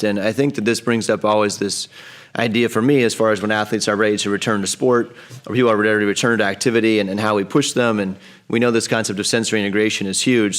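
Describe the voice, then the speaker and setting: A man hosting a casual podcast interview, eager and talking with many fillers. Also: on the low side. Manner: quick, eager, full of fillers. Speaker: podcast host.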